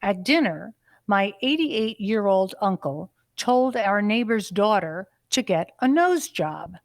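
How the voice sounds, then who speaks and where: A woman's voice reading out a listener's letter in an even, measured way. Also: mid-range voice, dry recording, clean studio sound.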